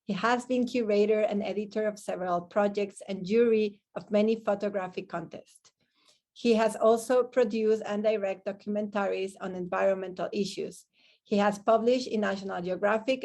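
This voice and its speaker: A woman's voice: mid-range voice, darkish tone. Woman moderator introducing a speaker. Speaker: panel moderator.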